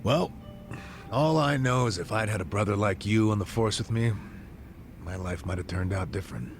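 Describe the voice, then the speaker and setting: An American man acting a gruff, regretful, middle-aged ex-cop in a video game cutscene, blunt and streetwise with a gravelly voice. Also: fairly low pitch, lively intonation, normal speed, music in the background. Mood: wry, loosened up.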